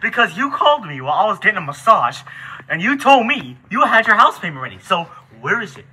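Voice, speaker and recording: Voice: mid-range voice, big pitch swings, fast talker, sharp tone, slightly rough, full character voice, low-quality recording. A performer voicing an angry, threatening male landlord creature in an amateur puppet skit, indignant and emphatic.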